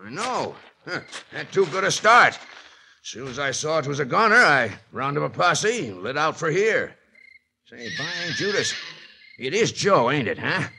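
A man playing a blustering, bumbling western sheriff who stammers in a folksy, gruff way, for a 1950s radio drama. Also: a little high, sing-song, unhurried, sharp tone, exaggerated voice. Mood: grumbling. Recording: noisy old radio broadcast.

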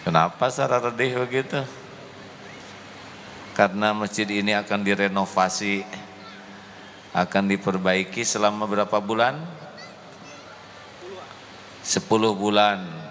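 Male preacher, middle-aged, slow and emotive, handheld mic, big reverberant mosque hall. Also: lowish voice, steady tone, a bit bright, a little hiss or hum. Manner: slow, deliberate, emotive, addresses the congregation. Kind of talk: mosque sermon. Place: large mosque hall, indoors. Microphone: handheld microphone. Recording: echoey hall with faint ambience.

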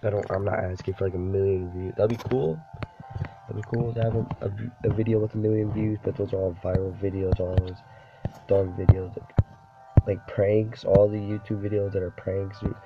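Young man, sick, deep low voice, slangy rambling, dull lo-fi sound. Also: little pitch movement, normal speed. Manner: rambling, slangy, says dude a lot. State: sick, voice deeper than usual. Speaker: gaming YouTuber. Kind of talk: casual gameplay commentary. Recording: dull lo-fi recording with game music behind. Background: game music.

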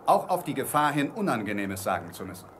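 Strenger, entschlossener Kapitän mit Nebengeräuschen. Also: male voice, mid-range voice, sing-song, a bit bright, slight background noise. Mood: grave, firm. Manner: formal, firm, measured. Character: stern, blunt, determined male ship's captain. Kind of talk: German radio play acting.

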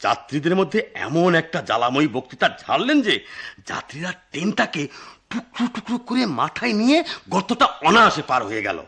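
Animated high-voiced comic storyteller, man. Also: brisk, slightly rough, heavily acted. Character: excitable male storyteller telling an absurd tall tale. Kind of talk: theatrical Bengali radio drama acting.

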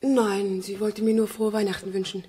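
Mellow voiced young woman, worried and guarded client in an old radio crime play, polite. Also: medium pitch, level tone, normal speed, slightly rough. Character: anxious, guarded young woman seeking her missing fiancé. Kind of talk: 1970s German radio crime drama acting.

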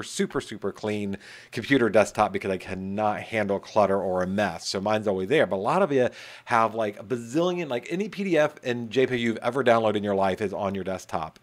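Brief: middle-aged male instructor, slightly low voice, casual and emphatic, recorded at home on a webcam. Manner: casual, chatty, emphatic repetition. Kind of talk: screen-share tutorial.

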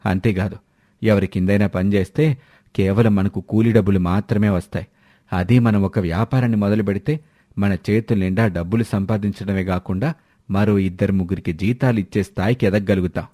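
Low-voiced persuasive village husband, played by a man. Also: steady tone, a little fast, mellow, no room sound, studio quality, natural voice. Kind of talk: animated moral-story dialogue.

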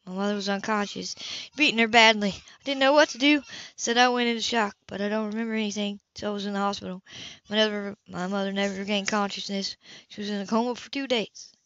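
A woman voicing a tough, wounded woman of twenty-eight in an audiobook, quietly and matter-of-factly recounting. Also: mid-range voice, steady tone, quick pace, dry recording, close to an everyday voice. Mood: bitter, controlled. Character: tough, wounded 28-year-old woman, controlled and unflinching. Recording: slightly dull home recording.

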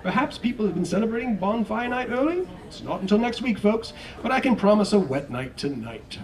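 A man's voice: high voice, big pitch swings, brisk, a little mellow, distant mic, pitched above the performer's own voice. A man voicing a chatty, jokey TV weatherman in a read-aloud storybook. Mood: jovial. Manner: breezy, folksy broadcast banter.

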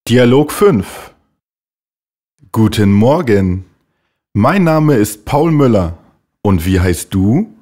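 Slow, lively phrase reader, male. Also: lowish voice. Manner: slow, clearly enunciated model phrases for repeating. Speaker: German language teacher voice. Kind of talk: slow scripted German phrase reading.

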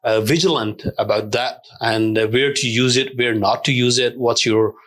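A man, a police constable, telling stories in a studio interview with lively laughs and frequent fillers. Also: mid-range voice, moderate pace. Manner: animated storytelling with laughs and hesitant fillers. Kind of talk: interview answers.

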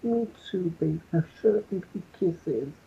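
A man's voice: high voice, animated, normal speed, creaky, husky. A man from the United Kingdom with a cough, giving brief, subdued replies in an intimate couple roleplay, on a tinny recording. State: sick with a cough. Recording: tinny, narrow playback of a video.

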